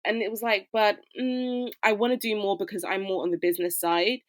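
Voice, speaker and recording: A woman's voice: medium pitch, fairly even intonation, a little fast, dry recording. A woman, a fashion educator, answering interview questions in a conversational, reflective way with frequent fillers. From United Kingdom.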